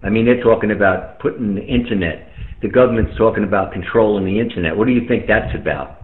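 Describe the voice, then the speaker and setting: A man's voice: fairly low pitch, fast. Echoey, phone-like radio voice of a man. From United States. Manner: colloquial, emphatic, repetitive, mildly profane. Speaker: amateur radio operator chatting with friends. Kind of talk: casual opinionated radio monologue. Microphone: radio microphone over internet link. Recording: tinny phone-like radio link, roomy echo.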